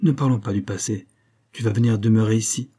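Male reader as young woman, proud actress, mellow husky tone, impatient, mocking, bitter, storybook. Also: lowish voice, a little fast. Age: young adult. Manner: railing, vehement, impatient.

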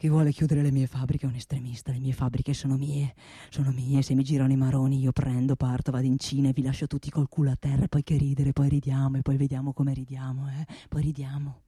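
A woman's voice: extremely low pitch, level tone, fast talker, warm tone, husky, dry recording, studio quality, pitched below the performer's own voice. A woman playing a blustering, self-justifying male factory boss in a comic stage monologue, pompous and obsessively repetitive. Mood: threatening. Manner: pompous, repeats himself obsessively.

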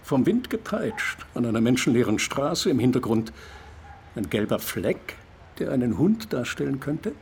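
A man's voice: mid-range voice, sing-song, normal speed, darkish tone, a little hiss or hum. An actor playing an elderly, erudite Cuban art critic in a German radio drama, musing and paternal as he savors his descriptions. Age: older.

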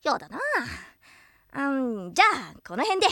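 A woman playing a brash, tomboyish young adventurer who speaks in rough, boyish casual language on an anime character radio show. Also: high voice, sing-song, moderate pace, bright, forceful tone, no echo, studio quality, full character voice. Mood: teasing, casual. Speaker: radio request show co-host.

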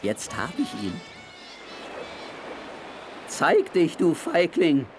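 A feisty cartoon sailor with a high voice that leaps all over its range. He talks to himself in exclamations, full of swagger, in a comic radio play with prominent music behind him. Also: sing-song, drawn-out words, full character voice. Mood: determined. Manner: exclamatory, thinks aloud, chuckles to himself.

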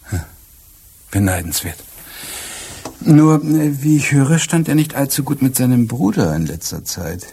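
Composed police inspector, husky mid-pitched voice, wry, probing, courteous, radio crime drama. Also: male voice, sing-song, measured pace, pauses often, dark tone, full character voice. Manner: probing, hesitant interjections, dryly courteous.

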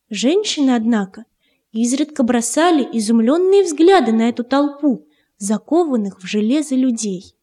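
A woman narrating a children's tale as an audiobook, in an expressive, measured literary manner. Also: high voice. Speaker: storyteller, narrator.

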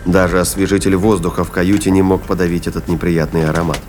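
Deep-voiced male narrator. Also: level tone, fast, a little mellow, slight background noise. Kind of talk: scripted dramatic narration of science fiction.

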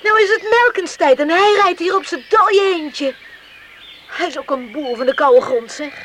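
Scornful young islander, high voice, sharp tongue, dismissive, radio drama, faint noise and birdsong. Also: female voice, normal speed. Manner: tart, dismissive. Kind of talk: Dutch radio play acting.

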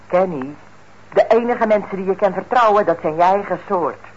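A woman's voice: medium pitch, normal speed, lightly acted. An actress playing a bitter, manipulative mother nearing forty in a radio play, nagging and wheedling. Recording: dull, noisy old radio recording.

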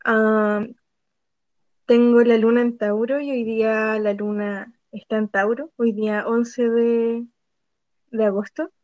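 Slow, calm Chilean woman. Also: a little high, no room sound. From Puerto Montt, Chile. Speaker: podcast guest. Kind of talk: casual discussion. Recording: dry, dull lo-fi sound.

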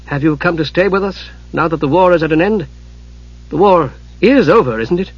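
A man's voice: a little high, normal speed, husky, highs cut off. A man voicing an anxious, earnest young mage who speaks with uncertainty in a fantasy audiobook.

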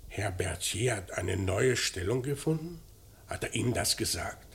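An actor playing a terse police inspector in a radio crime drama, asking short, businesslike questions. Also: male voice, on the low side, expressive, normal speed, gravelly, breathy edge, full character voice. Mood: probing, restrained. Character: probing, terse male police inspector on a murder case.

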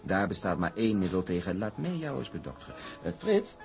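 A man's voice: fairly low pitch, sing-song, brisk, vocal fry, darkish tone, faint music behind. An actor playing a smug, domineering shipping tycoon in a drama, talking in a hearty, condescending way to his sweetheart. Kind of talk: acted period drama dialogue.

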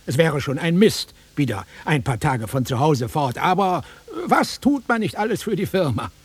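An actor playing a bored, blunt middle-aged travelling salesman with a raspy voice in a naturalistic radio drama. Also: on the high side, expressive, moderate pace. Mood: offhand. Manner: offhand, dismissive, grumbling.

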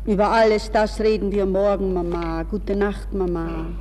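An actor playing a calm young man speaking in a measured, polite, soothing way in a 1960s radio play. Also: high-pitched, slight background noise, natural voice. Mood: soothing, firm. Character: calm, reassuring young man, the aunt's son. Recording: reverberant 1960s radio recording.

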